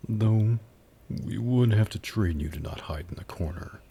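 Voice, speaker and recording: ASMR demon buyer, acted by a man: very deep, gravelly, mocking and flirtatious, predatory, breathy close-mic delivery. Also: mellow, heavily acted. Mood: musing, menacing. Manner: slow, taunting, condescending purr. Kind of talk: ASMR roleplay acting.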